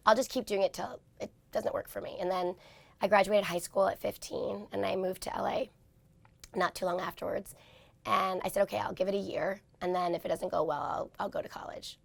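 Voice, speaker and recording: A woman in her forties from New York, hoarse from talking too much, chatting in an interview with quick self-deprecating asides. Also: mid-range voice, no echo. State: hoarse, lost voice. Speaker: interview guest. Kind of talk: casual interview talk.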